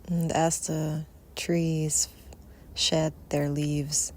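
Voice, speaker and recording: A woman softly guiding a meditation without hurry, with long pauses and breath at the ends of her phrases. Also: sits low, little pitch movement. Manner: soft, unhurried, with long pauses. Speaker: meditation guide. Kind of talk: guided Yoga Nidra meditation. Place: outdoors in nature. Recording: outdoor recording with faint ambient noise. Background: faint natural ambience.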